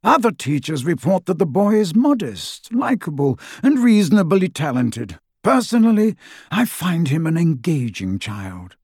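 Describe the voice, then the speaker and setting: A man voicing a calm, stern old headmaster wizard in an audiobook, measured, wise and coolly reproving. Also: fairly high pitch, slightly rough, no room sound, clean studio sound, voice pushed up, full character voice. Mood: mild, dismissive. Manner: measured, cool, gently reproving.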